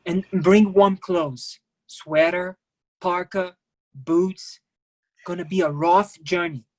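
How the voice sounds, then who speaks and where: A man acting a brisk, approving cruise ship staffer in a play reading, speaking in short emphatic phrases. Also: high-pitched, steady tone, darkish tone, rough recording. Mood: urgent. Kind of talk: staged play reading over video call.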